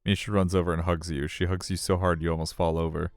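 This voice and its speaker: A man's voice: deep voice, little pitch movement, fast talker, clean tone, dry recording, faint music behind. A man streaming a live read-aloud of a visual novel, mixing narration with casual chat.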